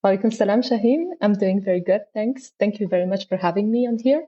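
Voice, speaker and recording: A woman's voice: mid-range voice, rapid-fire, a little hiss or hum. A young woman from Afghanistan, a scientist answering interview questions thoughtfully with many fillers. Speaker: guest, PhD scientist. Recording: dull lo-fi audio.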